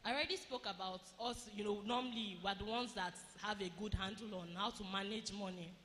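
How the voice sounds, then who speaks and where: A woman giving a teaching talk at a women's retreat, speaking in short phrases with pauses for an interpreter. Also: medium pitch, a bit bright. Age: adult, married since 2016. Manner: measured, pausing phrase by phrase for the interpreter. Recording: echoey hall, faint ambient noise.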